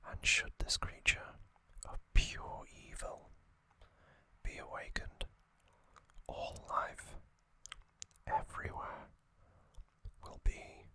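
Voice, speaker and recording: An ASMR host whispering trivia, softly repeating single words as triggers and reading from a box cover. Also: slow pace, pauses often. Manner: reads aloud from a box cover.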